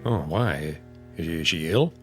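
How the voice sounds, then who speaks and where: An actor playing a polite but firm office boss in a radio drama. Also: male voice, extremely low pitch, animated, normal speed, soft background music. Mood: concerned but firm.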